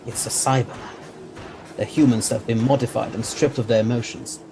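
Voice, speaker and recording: A man playing a brisk, authoritative time-travelling hero who explains things with assurance in an audio drama. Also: medium pitch, steady tone, over music, lightly acted. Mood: grave, urgent.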